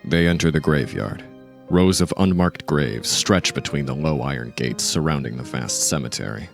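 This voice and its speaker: A man narrating a dark audio drama in a measured, literary storytelling manner. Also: a bass voice, expressive, vocal fry, faint music behind. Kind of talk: scripted narration of dark fiction.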